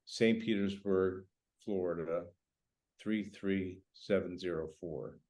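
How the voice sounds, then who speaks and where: A man speaking as a writer in a casual literary conversation, halting and reflective, repeating phrases as he thinks. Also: deep voice, a little mellow. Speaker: writer, guest.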